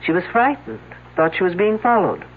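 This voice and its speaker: A sardonic high-voiced detective. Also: male voice, sing-song, brisk, slight background noise. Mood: dry. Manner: dry sarcastic wit. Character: dry, sardonic male detective. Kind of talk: 1970s radio drama acting. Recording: tinny old radio broadcast.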